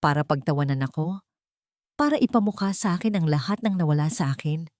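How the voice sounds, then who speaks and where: A woman's voice: sits low, lively intonation, fairly quick, darkish tone, no room sound, clean studio sound. A woman with a Filipino accent voicing a broken, bitter male prisoner in a dramatic story. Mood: bitter, defeated. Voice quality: weak, raspy.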